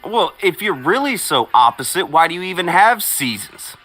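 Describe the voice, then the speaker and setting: Teasing, animated male stream host. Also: sits high, normal speed, soft background music. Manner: crude, teasing, profane storytelling. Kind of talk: casual chat, reading out viewer comments.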